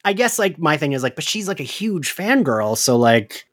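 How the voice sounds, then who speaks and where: A man's voice: a little high, big pitch swings, normal speed, a bit bright, no room sound, clean studio sound. A man co-hosting a casual recap podcast, chatty and giggly, peppering his talk with "like". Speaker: podcast co-host.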